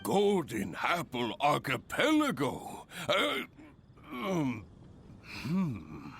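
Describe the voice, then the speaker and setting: A grandiose adventurers' guild master from a fantasy game. His delivery is theatrical and unhurried, leaping widely in pitch. He sounds hearty and self-important, with a bright, forceful tone. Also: wildly swooping pitch, gravelly, slight background noise, exaggerated voice. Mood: hearty, amazed. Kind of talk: video game voice acting.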